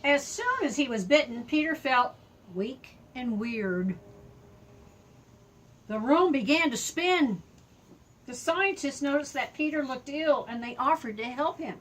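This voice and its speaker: An older woman with a high voice reads a children's storybook aloud at a slow, unhurried pace. She tells it expressively, in simple words, pausing to explain things to a young listener like a grandmother at storytime. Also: expressive, a little hiss or hum, poor audio.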